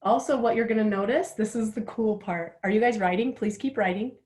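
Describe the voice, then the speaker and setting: A woman's voice: medium pitch, brisk, darkish tone. A woman of forty with a chatty, candid manner, guiding listeners through a writing exercise and laughing at herself as she goes. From Utah, United States. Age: 40s. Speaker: workshop host. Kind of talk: guided writing workshop.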